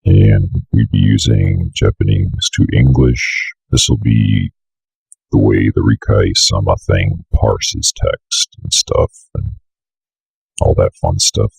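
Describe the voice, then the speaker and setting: A young man with an extremely low voice, full of heavy vocal fry. He talks in an offhand, casual way, half to himself, as he walks through a software setup. The sound is dry and close. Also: wildly swooping pitch, slow, dry recording, poor audio. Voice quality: gravelly, raspy. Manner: offhand, muttering to himself while working. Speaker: tutorial host. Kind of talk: casual unscripted software walkthrough.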